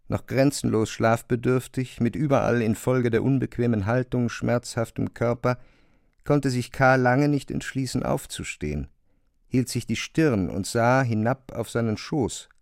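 A man reading scripted literary narration for a radio drama in a measured, precise way. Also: mid-range voice, creaky, darkish tone, dry recording, clean studio sound.

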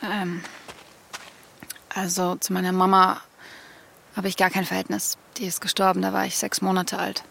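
A woman's voice: medium pitch, completely flat delivery, normal speed, creaky, long pauses, a bit bright, slight background noise. A woman acting a cynical young woman in an audio drama, speaking hesitantly and often repeating her words. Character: young woman, cynical, searching, self-doubting.

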